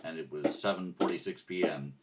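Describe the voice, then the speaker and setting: A man playing a terse, stoic police detective with a deadpan, clipped, polite delivery in a radio crime drama. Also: low-pitched, brisk, heavy background noise, pitched below the performer's own voice, lightly acted. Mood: matter-of-fact.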